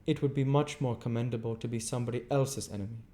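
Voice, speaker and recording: Contrary moralizing gentleman for a storybook, man reader, emphatic and sententious, voice raised in pitch. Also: fairly even intonation, fast talker, close to an everyday voice. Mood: dry retort.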